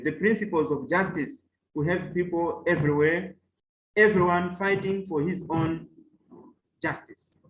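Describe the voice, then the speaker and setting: High-voiced man, echoey room. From Mozambique. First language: Chewa. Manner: measured and halting, with many fillers and restarts. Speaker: professor and panelist. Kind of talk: online academic panel talk. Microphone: video call.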